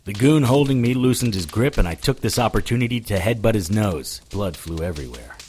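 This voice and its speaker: A man acting as a tough investigator in an audio drama, his voice on the low side. He delivers both dialogue and first-person narration in a wry, deadpan, hardboiled way. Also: brisk, a little hiss or hum, close to an everyday voice. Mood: hardboiled narrating. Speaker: lead actor and narrator.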